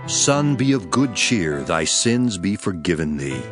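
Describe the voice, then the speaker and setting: A man acting a calm, authoritative holy teacher in a dramatized scripture reading, speaking in a measured, solemn and commanding way. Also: deep voice. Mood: reassuring. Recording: music behind the voice.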